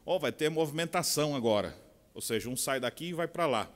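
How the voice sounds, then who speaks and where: A middle-aged man with a Bahian Portuguese accent, preaching a sermon with expressive swings from quiet asides to loud, slow emphasis. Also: fairly high pitch. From Brazil. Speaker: pastor. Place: large conference hall. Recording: long hall reverb.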